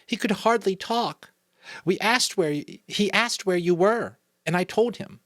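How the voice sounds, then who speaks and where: A man's voice: high-pitched, unhurried, no room sound, studio quality, pitched above the performer's own voice. A man with an American accent voicing a worried, earnest young mouse child in a storybook. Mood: anxious.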